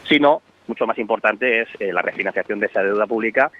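A man giving worried, analytical economic commentary on the radio, pausing often on "eh". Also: on the low side, highs cut off. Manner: worried, analytical, with frequent "eh" fillers.

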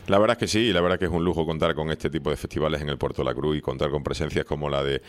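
Deep-voiced, fast-talking radio host. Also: male voice. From Seville, Spain. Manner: lively, chatty, laughs easily. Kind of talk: radio interview.

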